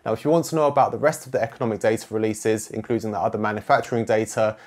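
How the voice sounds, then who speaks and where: A young adult man presenting a scripted finance explainer to camera from a small home studio, measured and steady in his explaining. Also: on the low side. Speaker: finance educator presenting a video series.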